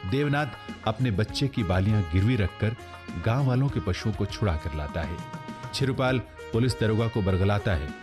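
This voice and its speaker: Low-voiced male narrator over music. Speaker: radio drama narrator. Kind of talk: scripted story-recap narration. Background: instrumental music with guitar.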